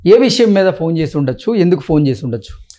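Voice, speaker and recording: A male interviewer speaks in a formal news manner. His delivery is very quick, in a slightly high but soft-edged, mellow voice. His phrasing is long and wordy. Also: sing-song. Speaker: interviewer, host.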